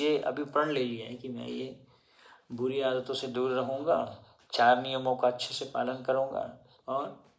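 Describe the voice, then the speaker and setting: Slow gravelly man giving a devotional talk. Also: medium pitch, fairly bright tone, low-quality recording. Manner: slow, explanatory, mixes English words, quotes verses. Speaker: devotional speaker. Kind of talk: Hindi religious discourse with scripture quotes.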